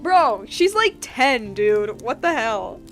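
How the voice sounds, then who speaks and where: A young woman doing casual live game reactions, talking in an animated, slangy, rambling way as a streamer. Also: a little high, slow pace, faint music behind.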